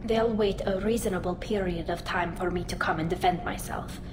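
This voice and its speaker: A woman's voice: on the low side, steady tone, moderate pace, background noise, lightly acted. Woman acting earnest young alien woman, roomy sound. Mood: somber, explaining. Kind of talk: dramatic video game dialogue.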